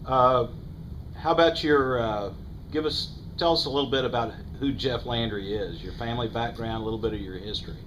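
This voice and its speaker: A male interviewer asking short, plain questions in a steady manner. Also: medium pitch, fairly quick, a little hiss or hum, low-quality recording.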